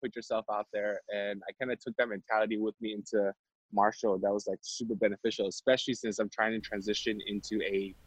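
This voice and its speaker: A man's voice: on the low side, level tone, fast talker, breathy edge, no room sound, poor audio. A young man from Vietnam, an MBA student guest, talking casually with lots of fillers and restarts. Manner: chatty with frequent fillers and restarts. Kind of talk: casual conversational interview.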